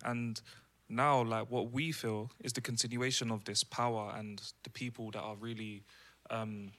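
A man's voice: medium pitch, little pitch movement, normal speed, fairly bright tone. A man co-hosting a podcast, asking eager, slangy questions in casual chat. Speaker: podcast co-host. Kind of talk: casual conversation.

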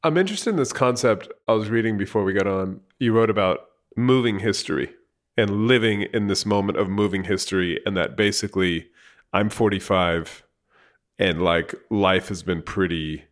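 A man's voice: on the low side, moderate pace. A man in his forties hosting an interview, asking long, casual questions full of fillers and restarts. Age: 40s. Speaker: podcast host. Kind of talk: conversational interview.

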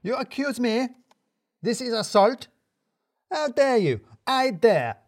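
Indignant, wound-up male freelancer, pitched high, dramatic and shouting, for an office comedy audio story. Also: sing-song, takes it slowly, no echo, studio quality, heavily acted. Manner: shouting, affronted, clipped formal phrasing.

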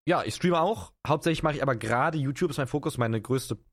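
A twenty-year-old man from Potsdam, talking fast and playfully as a podcast co-host. His voice has a middle pitch, and he boasts and laughs easily. The sound is close and dry. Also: no room sound. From Potsdam, Germany. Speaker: podcast co-host, content creator. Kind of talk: casual chat.

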